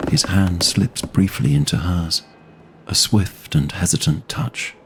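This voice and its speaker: Slow, low-voiced male storyteller. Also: background noise. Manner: measured, literary storytelling. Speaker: narrator. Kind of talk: scripted fantasy audio drama narration.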